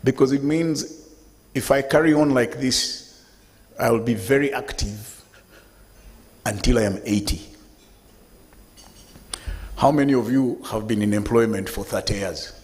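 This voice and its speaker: Man in his fifties, guest speaker, lively and conversational, mid-pitched voice, long hall reverb. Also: a little slow, long pauses. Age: 50s. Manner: lively, conversational, addresses the audience directly. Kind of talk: public talk to an audience. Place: event hall with live audience.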